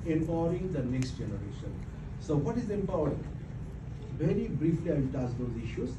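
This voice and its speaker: A man with a Bangladeshi accent giving a workshop presentation, listing his points one by one in a halting way. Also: on the high side. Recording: distant mic with steady background noise.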